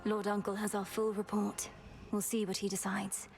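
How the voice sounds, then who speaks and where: An actress playing a young, dutiful underworld princess and witch in a video game, composed and earnest, with a gravelly creak. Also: mid-range voice, level tone, normal speed, big-room sound, faint music behind, lightly acted. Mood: earnest, tender. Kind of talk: video game dramatic acting.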